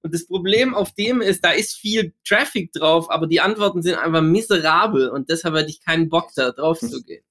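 A man's voice: a little high, fairly quick, hardly any pauses, sharp tone. A man co-hosting a casual podcast who speaks bluntly in everyday slang. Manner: blunt, colloquial.

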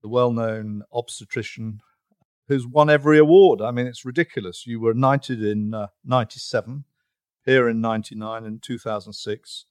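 A man hosting an interview, talking in a halting, conversational way with pauses and restarts. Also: medium pitch, fairly even intonation, smooth, no room sound, studio quality. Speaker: interview host.

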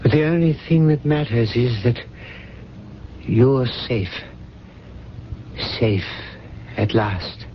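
Through a dull radio recording with faint hiss comes an ailing, devoted man in a radio drama. He speaks slowly and haltingly, weary but dryly dignified, at a middling pitch. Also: animated. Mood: weary, resigned. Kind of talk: 1970s radio drama acting.

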